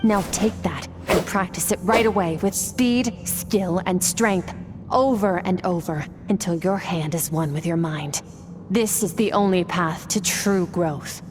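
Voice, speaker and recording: A woman voicing a calm, exacting swordmaster who firmly instructs her student in a game. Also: on the low side, unhurried, close to an everyday voice. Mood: stern, instructive. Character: stern swordmaster mentor woman, cool and exacting. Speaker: sword teacher. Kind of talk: game voice acting. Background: game music.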